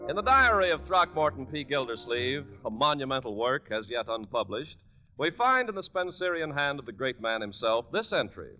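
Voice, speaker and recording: A man's voice: mid-range voice, very animated, moderate pace, soft background music. A man with an American accent announcing in scripted 1940s radio style, polished, emphatic and salesmanlike. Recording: dull band-limited 1940s radio recording.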